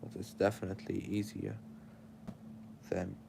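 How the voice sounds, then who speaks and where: A man's voice: low-pitched, level tone, unhurried, vocal fry, background noise. A young man with an Arabic accent, sleepy and tired, preaching casual life advice straight to his livestream chat.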